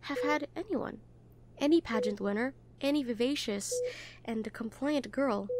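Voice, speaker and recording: A woman with a high voice reads literary prose aloud. She phrases it expressively, in the manner of a story narrator. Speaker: audio roleplay voice actor reading a novel aloud. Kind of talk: expressive literary book reading.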